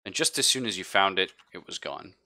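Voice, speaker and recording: A man narrating a live tabletop roleplaying game as game master, in a halting, conversational way. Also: low-pitched, expressive, pushed and bright.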